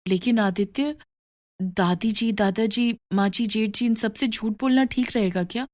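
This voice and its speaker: A woman's voice: fairly high pitch, measured pace, close to an everyday voice. A woman voicing an overworked young wife in a moral story, plaintive and polite. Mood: gentle, concerned. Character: overworked young daughter-in-law, weary but dutiful wife. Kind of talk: moral story character dialogue.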